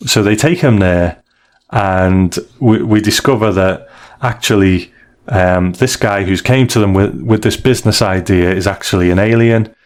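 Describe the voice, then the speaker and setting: A man's voice: fairly low pitch, moderate pace. A man hosting a podcast, recapping and reviewing stories in a casual, slightly hesitant conversational way. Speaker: podcast host.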